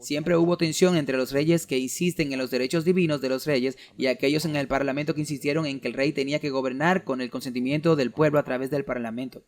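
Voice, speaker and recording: A man's voice: medium pitch, fairly even intonation, fast, hardly any pauses. A man giving a sermon-style lecture in an earnest, argumentative way, reading out quoted documents as he makes his case. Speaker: preacher giving a talk.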